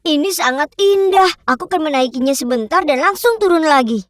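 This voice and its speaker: A curious, headstrong young boy in a children's cartoon. The voice is high and animated. He talks boldly and eagerly, like a kid sure of his own plan. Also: fairly quick, soft background music. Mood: awestruck, eager.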